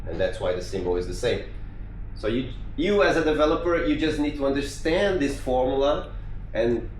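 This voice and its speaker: Brazilian-accented male speaker, casual talk with restarts, mid pitch, roomy echo. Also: animated, normal speed. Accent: Brazilian Portuguese. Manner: conversational with frequent restarts and fillers. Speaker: conference speaker. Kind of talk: technical conference talk. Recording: echoey room with faint noise.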